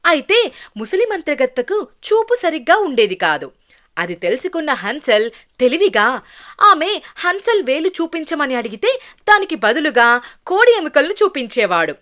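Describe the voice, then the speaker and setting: High, animated woman storyteller. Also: moderate pace. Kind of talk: scripted children's fairy tale narration.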